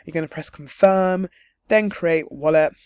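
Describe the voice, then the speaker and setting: A man talks through a crypto wallet setup in a relaxed, chatty way, his voice hoarse and husky because he is losing it. He sits a little high for a male voice and laughs lightly between steps. The sound is close and dry, with no room around it. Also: a little slow, no echo. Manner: chatty and upbeat, with light laughs and frequent um's. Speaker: crypto tutorial creator. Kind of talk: casual screen-share walkthrough.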